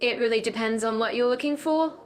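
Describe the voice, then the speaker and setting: A woman's voice: fairly high pitch, fairly quick, soft background music. A woman co-hosting a scripted podcast, chatting in an upbeat way with bubbly little interjections. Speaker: podcast co-host.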